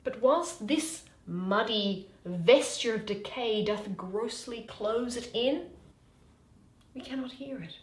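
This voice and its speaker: Woman playing a tender young male lover, slow verse. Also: fairly high pitch, roomy sound. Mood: awed, tender. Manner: lyrical, measured, breathy at line ends. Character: tender, playful young male lover musing poetically by moonlight. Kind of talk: Shakespearean verse reading.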